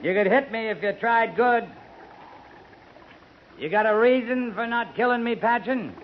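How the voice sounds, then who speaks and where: Cool-headed cavalry captain, quiet and unshaken, old radio drama with faint hiss. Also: male voice, extremely high pitch, measured pace, highs cut off. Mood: calm. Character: calm, steely cavalry captain. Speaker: Captain Lee Quince. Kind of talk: 1950s radio drama acting.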